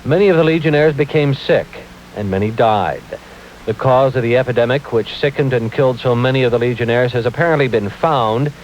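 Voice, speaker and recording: A man's voice: medium pitch, brisk. A man with an American accent delivering a scripted news report as a radio reporter. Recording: dull hissy 1970s AM radio aircheck.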